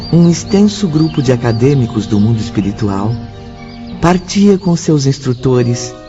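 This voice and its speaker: An actor playing a lyrical storyteller, narrating a radio drama in a deliberate literary way. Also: male voice, on the high side. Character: stately, lyrical male storyteller. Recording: dull audio over background music.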